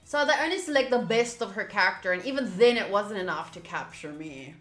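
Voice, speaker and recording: A woman's voice: medium pitch, lively intonation, a little fast, a bit bright. A woman streamer giving casual playthrough commentary on a visual novel and reading lines aloud. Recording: roomy sound with faint game music. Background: faint game music.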